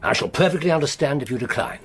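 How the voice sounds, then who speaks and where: A weary but shrewd older government official, measured and persuasive. His voice is husky, with lively rises and falls. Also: mid-range voice. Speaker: senior official.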